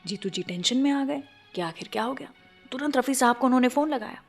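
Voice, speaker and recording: A woman's voice: sits high, animated, quick pace, vocal fry. A woman with an Indian accent hosts a radio show, telling scripted stories conversationally and speaking directly to listeners. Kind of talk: scripted radio storytelling between songs. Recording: music playing behind the voice. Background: background music.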